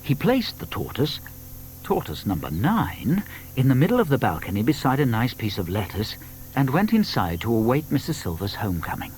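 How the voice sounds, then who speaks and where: A British man narrating a children's story in an expressive, scripted storytelling style. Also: medium pitch, normal speed, slight background noise, low-quality recording.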